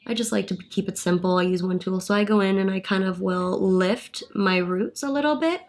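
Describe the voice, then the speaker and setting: A woman's voice: mid-range voice, brisk. A young woman vlogger chatting casually through a hair and makeup tutorial, a little congested from a cold. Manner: chatty, upbeat, thinking aloud while working. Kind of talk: casual get-ready-with-me tutorial.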